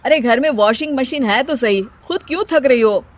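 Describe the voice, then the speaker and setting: A woman's voice: sits high, moderate pace, natural voice. A woman voicing a sarcastic, joking young husband in a cartoon story. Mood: exasperated. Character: wry, teasing young husband in a cartoon family. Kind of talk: animated cartoon story dialogue.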